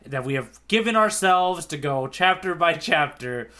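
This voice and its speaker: A man co-hosting a casual podcast as its guide, bantering in a playful, animated hype-man manner. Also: fairly high pitch, unhurried. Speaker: podcast co-host, tour guide. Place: remote online podcast recording.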